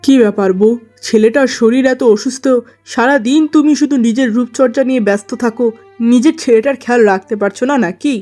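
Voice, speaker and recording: A woman voices a troubled merchant husband from a cartoon melodrama. The voice is high, earnest and full of grievance and regret. Music plays underneath. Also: brisk, dark tone, natural voice. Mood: aggrieved. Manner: earnest, aggrieved. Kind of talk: melodramatic cartoon story acting.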